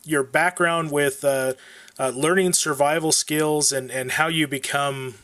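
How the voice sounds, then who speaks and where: A man's voice: fairly high pitch, fairly even intonation, a little slow, fairly bright tone, no room sound. An American man hosting a podcast, giving announcements and introducing an interview.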